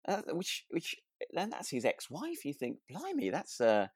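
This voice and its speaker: A man's voice: a little high, huge pitch swings, unhurried, husky, no room sound, studio quality. A man co-hosting a podcast, chatting casually in a hesitant, rambling, agreeable way. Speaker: podcast co-host. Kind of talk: casual chat about a radio drama.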